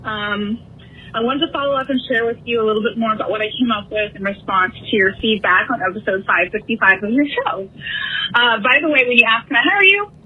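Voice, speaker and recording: A woman's voice: on the high side, brisk, a little hiss or hum. A woman leaving an earnest voicemail message, reading from her notes. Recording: tinny phone voicemail.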